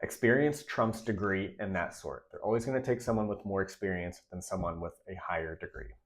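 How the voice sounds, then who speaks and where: A man's voice: deep voice, brisk. A young man with a stuffy, nasal voice from a recent cold gives direct, emphatic career advice in a casual vlog. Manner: direct, emphatic, repeats key points. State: congested, nasal from a recent illness. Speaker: radiology tech creator giving career advice.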